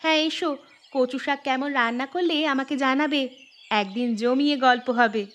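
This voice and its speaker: A woman's voice: high-pitched, normal speed, fairly bright tone. A woman voicing a generous, modest village housewife in a moral-story cartoon, speaking in an earnest, kindly way. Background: background music.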